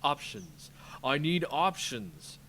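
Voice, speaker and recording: Guy as serious squad leader in a fantasy tale, firm, urgent, lively pitch, slight noise. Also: takes it slowly, exaggerated voice. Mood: urgent, commanding. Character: earnest, commanding male warrior leader.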